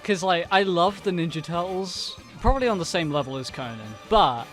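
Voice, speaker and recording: A man's voice: sits high, expressive, a little fast, a bit bright, faint music behind. A man in casual podcast chat about anime, talking loosely with many fillers and laughing often. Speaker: co-host, anime movie reviewer.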